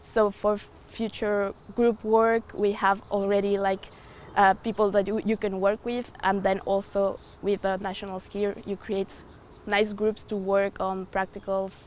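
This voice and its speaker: Woman, 20s; thoughtful interview answers with fillers, average pitch, slight background noise. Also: level tone, unhurried, a little mellow. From Ecuador. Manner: thoughtful, hesitant with frequent fillers. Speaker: student.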